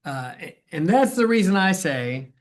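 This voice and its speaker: A man's voice: high-pitched, sing-song, a little slow. A man playing an earnest, appreciative program director near retirement, with hesitant, stammering starts, in natural staged dialogue. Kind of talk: dramatized dialogue, natural conversation.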